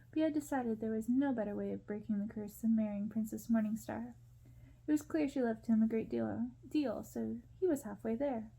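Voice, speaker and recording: A woman reading a story aloud as ASMR narration, in a soft, hushed storytelling manner. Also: a little high, gravelly, poor audio. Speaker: ASMR reader.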